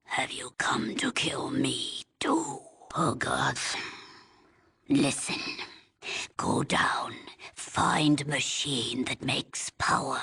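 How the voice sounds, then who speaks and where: An aged female village elder from a video game, her voice very husky and thick with gravel. She speaks slowly and solemnly, with a formal, halting gravity that pleads for her people. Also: rumbling low, pushed and bright, whispered, heavily acted. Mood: desperate, stern. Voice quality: husky, raspy, breathy. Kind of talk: video game character dialogue.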